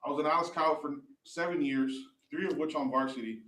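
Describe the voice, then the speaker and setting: A man's voice: a little high, fairly quick, fairly bright tone. A man in his thirties from Texas reading a prepared speech as a board member at a public meeting. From Texas, United States. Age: 30s. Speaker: youth league board member. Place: county courtroom, standing at a podium. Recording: echoey, distant mic, faint noise.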